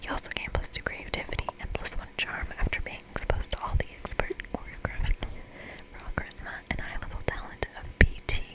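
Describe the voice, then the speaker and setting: A woman's voice: a little fast, rough recording. A woman doing whispered ASMR, reading a video game's narration aloud with informal asides. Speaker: ASMR creator.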